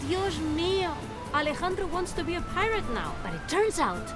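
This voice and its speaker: A woman's voice: extremely high pitch, big pitch swings, normal speed. A woman voicing a bold, witty young swordswoman hero in a video game. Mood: wry narrating. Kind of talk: animated video game acting. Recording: music behind the voice.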